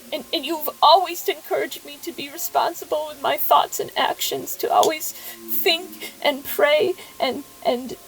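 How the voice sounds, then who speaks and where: A woman playing an anxious, devout widow in an audio drama, halting and repeating herself, with formal, old-fashioned phrasing. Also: high-pitched, poor audio. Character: anxious, devout widow, overwhelmed, speaking to her dead husband's likeness. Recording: faint background noise.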